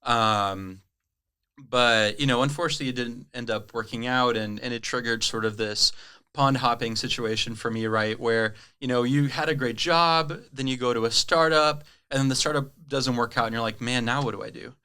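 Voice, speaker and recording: A man from Brazil whose first language is Portuguese, a sales rep telling his career story in a casual interview, chatty and quick to laugh. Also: mid-range voice, sharp tone, smooth. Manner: chatty, lots of "right?" tags and laughs. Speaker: guest, cloud sales rep.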